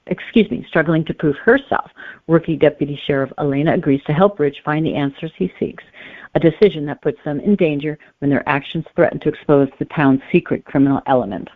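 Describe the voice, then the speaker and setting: A woman's voice: low-pitched, fairly quick, no echo. A woman speaking as a guest novelist in a conversational interview, explaining carefully and qualifying as they go. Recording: muffled narrow phone-line sound.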